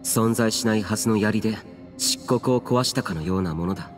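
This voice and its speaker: An actor playing a stoic, solemn young immortal guardian in a video game, terse and reserved. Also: male voice, on the low side, fairly quick, lightly acted. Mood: grave. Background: music.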